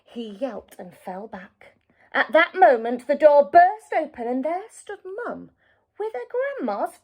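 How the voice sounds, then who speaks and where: A woman teacher reading a children's story, expressive and deliberate, as if to small listeners. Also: high-pitched.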